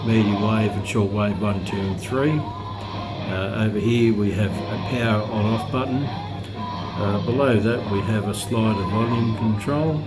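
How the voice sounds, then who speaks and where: Male, middle-aged, lowish voice, informal hobbyist demo, halting and unhurried, music clearly playing behind. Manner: hesitant, unhurried explaining with pauses. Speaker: radio collector presenting a review. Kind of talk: casual unscripted product demonstration. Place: indoors, at a tabletop with the radio. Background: radio broadcast playing in the background.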